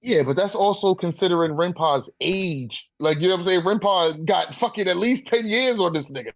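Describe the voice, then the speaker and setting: A man with a high-pitched voice debates in a loose, conversational way. His talk is thick with slang and swearing, and he keeps circling back to check that he is understood. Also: expressive, normal speed. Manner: slangy, profane, repeats "you know what I'm saying". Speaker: debater on a manga ranking podcast. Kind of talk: casual debate chat.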